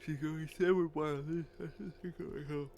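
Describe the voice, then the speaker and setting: Man playing a gravelly, defensive streetwise helper. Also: on the high side, steady tone, fairly quick, a little mellow, voice pushed up, close to an everyday voice. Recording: clearly noisy stream with hum.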